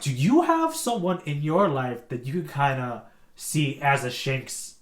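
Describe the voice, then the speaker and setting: Male podcast co-host, slightly high voice, echoey room sound, enthusiastic and expressive in casual conversation. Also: measured pace. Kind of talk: casual chat.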